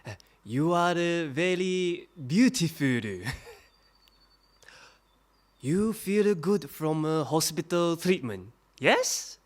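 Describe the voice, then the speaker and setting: An actor playing a leering Japanese army colonel in an audio drama, speaking halting, insinuating English with a Japanese accent. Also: male voice, a little high, expressive.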